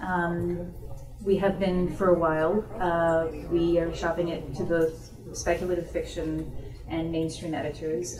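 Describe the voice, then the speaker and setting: Lowish-voiced woman author in an echoey room. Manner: conversational, explanatory. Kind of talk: informal audience Q&A at a book event. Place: bookstore event room. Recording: roomy echo, distant microphone.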